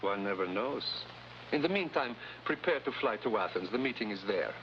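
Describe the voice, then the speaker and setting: An actor playing a sly, courtly, self-serving informant, mock-polite and theatrical, in a vintage TV adventure. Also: male voice, on the low side, animated, normal speed. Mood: oily, genial. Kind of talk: 1950s TV adventure acting. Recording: muffled old TV soundtrack with noise.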